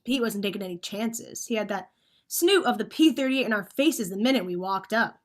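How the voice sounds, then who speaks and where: A woman's voice: mid-range voice, lively intonation, brisk, close to an everyday voice. A woman playing a cocky, bossy crook who narrates and schemes in slangy hardboiled style in a radio play. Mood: narrating coolly. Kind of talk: vintage radio drama acting.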